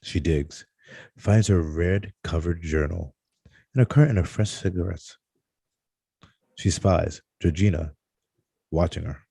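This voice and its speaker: A man reads out screenplay stage directions as narrator. His voice is deep and mellow, with a dry, close sound. The delivery is halting, tripping on the odd word. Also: creaky, husky, dry recording. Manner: halting read-aloud with stumbles over words. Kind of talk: scripted narration at a table read.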